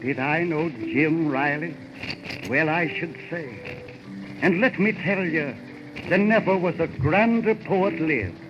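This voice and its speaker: A man's voice: fairly high pitch, music in the background. A man playing a proud, folksy old-time Hoosier poetry lover on 1930s radio. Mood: cheerful, proud. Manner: homespun, rustic, slow recitation. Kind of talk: 1930s radio character acting. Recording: muffled 1930s radio aircheck.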